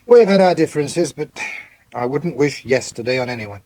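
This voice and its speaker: An actor playing a fair-minded, wry middle-aged English farmer in a radio soap, speaking in a measured way. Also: male voice, medium pitch, expressive, mellow. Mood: sober, sympathetic. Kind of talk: naturalistic radio soap acting.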